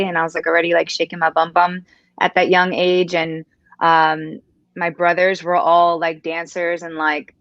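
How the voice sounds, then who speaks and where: A woman's voice: fairly low pitch, a bit bright, dry recording, muffled recording. A woman dancer chatting on a panel, saying "like" a lot. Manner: chatty, says "like" often. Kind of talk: panel conversation.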